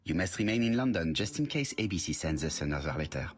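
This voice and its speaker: A man's voice: low-pitched, expressive, fast talker. A man voicing a courteous, self-assured middle-aged detective with a French-speaking Belgian accent, formal and precise. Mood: courteous, brisk. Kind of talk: video game dialogue.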